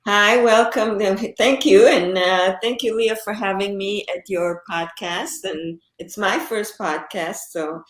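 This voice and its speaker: A woman's voice: medium pitch, takes it slowly, hardly any pauses, roomy sound. A woman in her sixties, an author and psychotherapist born in Israel, talking about her life in an interview, with many fillers and restarts. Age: 60s. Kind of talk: conversational interview.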